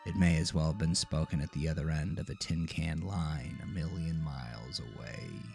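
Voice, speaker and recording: A man narrating a scripted horror story with deliberate, dramatic storytelling. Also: rumbling low, creaky, a little mellow. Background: music.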